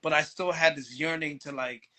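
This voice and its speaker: A man's voice: fairly high pitch, fairly even intonation, moderate pace, sharp tone. A man from Canada chatting as a podcast guest, laid-back, often restarting his sentences. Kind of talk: casual chat.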